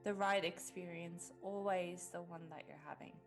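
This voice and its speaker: Woman doing guided meditation, lowish voice, gentle n unhurried, music playing behind her. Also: steady tone. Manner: gentle, unhurried, with long pauses. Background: background music.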